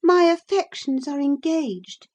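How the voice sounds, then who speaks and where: A woman's voice: high voice, takes it slowly, darkish tone, muffled recording, voice pushed up. A woman reading aloud, voicing a young princess disguised as a beggar maid, eager and pleading.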